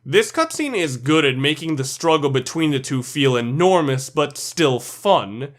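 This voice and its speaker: A man's voice: fairly high pitch, animated, unhurried. A man reading scripted commentary for a video game ranking list, blunt and opinionated.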